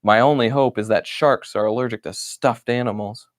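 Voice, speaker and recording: A man's voice: mid-range voice, normal speed, no echo, clean studio sound, natural voice. A man voicing a grumpy, put-upon stuffed bunny who complains through his diary entries in an exasperated way. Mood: worried.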